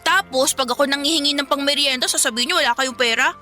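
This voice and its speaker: A woman's voice: high voice, fast, pushed and bright, soft background music. A woman acting an outspoken, accusing younger daughter with a Filipino accent in a radio drama, sassy and confrontational.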